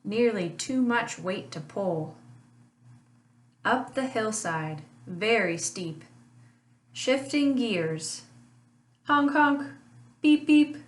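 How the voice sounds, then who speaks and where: A woman teacher reading a rhyming children's picture book aloud in a slow, sing-song way, with lively honks. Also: fairly high pitch. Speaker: family literacy teacher. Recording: echoey room, voice a bit far from mic.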